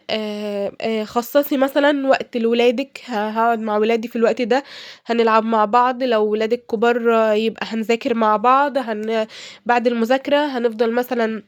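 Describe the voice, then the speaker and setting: Quick-talking young Egyptian woman with a cold. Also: fairly high pitch, dry recording, clean studio sound. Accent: Egyptian Arabic. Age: young adult. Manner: chatty, many fillers and restarts. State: congested from a cold. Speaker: cooking vlogger. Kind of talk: casual advice while cooking.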